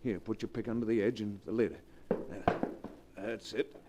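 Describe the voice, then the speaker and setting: An actor playing a commanding, matter-of-fact doctor in a 1940s radio drama. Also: male voice, lowish voice, steady tone, normal speed, very noisy, close to an everyday voice. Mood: puzzled, insistent. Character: brisk, commanding male doctor. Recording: vintage 1940s radio broadcast.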